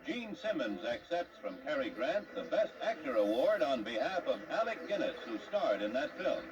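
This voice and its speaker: A man's voice: on the high side, big pitch swings, moderate pace, background noise. An American man reading scripted 1950s newsreel narration in a dramatic, declamatory announcer style. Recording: muffled distant 1950s newsreel soundtrack, loud music. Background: loud music.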